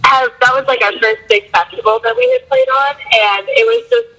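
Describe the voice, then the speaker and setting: A young woman in a band, a bit hoarse from a cold, answering interview questions in a loose way with lots of fillers. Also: high voice, big pitch swings, moderate pace, sharp tone, low-quality recording. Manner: loose, filler-heavy talk with frequent likes and ums. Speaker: band member.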